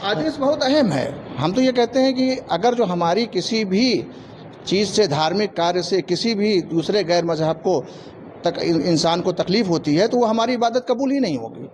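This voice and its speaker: A man's voice: high voice, lively intonation, normal speed, darkish tone, a little hiss or hum. A man giving plain-spoken, explanatory interview answers and repeating his points. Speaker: interviewee, local resident.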